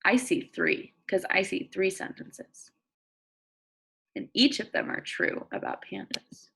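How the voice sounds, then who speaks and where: A woman reading a nonfiction book aloud as a teacher of young children, expressive and deliberate, often prompting listeners with questions and thinking out loud in simple explanations. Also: mid-range voice, creaky, slightly rough.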